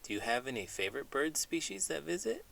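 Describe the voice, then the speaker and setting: A man's voice: mid-range voice, big pitch swings, fairly quick, vocal fry, fairly bright tone, no room sound. A middle-aged man hosting children's read-alouds, speaking expressively with dramatic, playful emphasis. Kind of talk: expressive storybook reading and scripted puppet banter.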